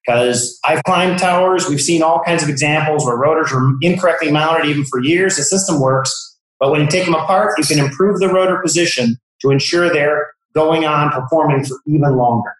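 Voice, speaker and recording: A man's voice: fairly high pitch, a little fast, few pauses. A man giving a technical demonstration as a product expert, explaining things step by step. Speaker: product expert from an encoder manufacturer. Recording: very echoey room.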